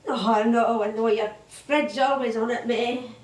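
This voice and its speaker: An actress playing a forgetful, gentle woman of seventy-six, an old music hall performer who dithers and repeats herself in a stage play. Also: on the high side, fairly even intonation, unhurried, lightly acted. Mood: wistful, evasive. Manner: dithering, repeats words, chuckly. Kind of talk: naturalistic stage play acting. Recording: roomy, distant stage recording.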